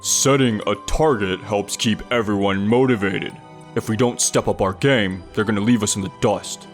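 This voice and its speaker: A demanding office boss, acted by a man in a manga dub, with music behind. The voice sits fairly low, firm and authoritative. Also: moderate pace, close to an everyday voice. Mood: stern. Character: demanding, numbers-driven male sales manager. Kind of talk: English manga dub acting.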